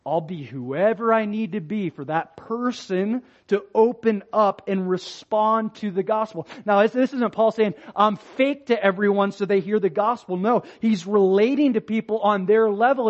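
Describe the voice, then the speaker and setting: High-voiced earnest preacher, dull lo-fi sound. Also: male voice. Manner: earnest, swings from soft and slow to loud and raised. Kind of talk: sermon.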